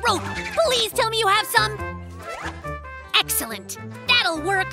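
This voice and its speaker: An actor voicing an eager, adventurous little polar bear cub in a children's cartoon, with an excitable, exclamatory delivery. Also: extremely high pitch, takes it slowly, vocal fry, music in the background, full character voice. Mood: eager, upbeat. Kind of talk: children's animated cartoon dubbing.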